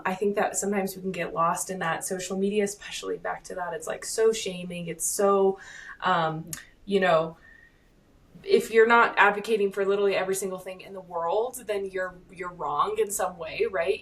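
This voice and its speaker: A woman's voice: mid-range voice, distant mic. A young adult woman hosting a podcast, affirming her guest in long, rambling sentences with casual language. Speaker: podcast host. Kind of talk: casual interview conversation.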